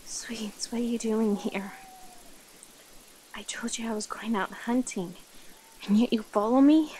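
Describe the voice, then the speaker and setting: A woman voicing a predatory, teasing vampire woman in an ASMR roleplay, breathy and often whispering, with drawn-out, menacing delivery. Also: fairly high pitch, noisy background, natural voice. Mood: hungry, warning.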